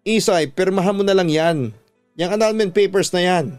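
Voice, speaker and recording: Lalaking gumaganap na istrikto at walang simpatyang ama. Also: male voice, high-pitched, moderate pace, darkish tone, no echo, pitched above the performer's own voice, close to an everyday voice. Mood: commanding. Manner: commanding. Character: stern, unsympathetic father of grown daughters. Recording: music bed under the voice.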